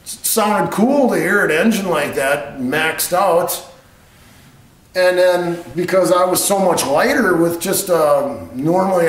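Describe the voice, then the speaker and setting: A middle-aged man telling a story casually and informally, rambling along in a matter-of-fact way. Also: on the high side. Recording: roomy echo on the voice.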